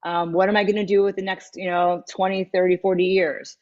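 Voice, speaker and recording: A woman in her fifties speaks in a lower register, candid and reflective, her thoughts wandering before they land. Her voice arrives over a video call, with dull, muffled lo-fi sound. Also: flat delivery, fairly quick, a little mellow. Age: 50s. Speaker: guest, matchmaker and dating coach. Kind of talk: candid interview conversation.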